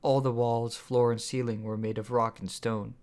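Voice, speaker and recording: A man narrates a scripted story for an audiobook. His voice sits at a medium pitch as he reads his own writing. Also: level tone, moderate pace, a little mellow, smooth. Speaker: author reading own audiobook.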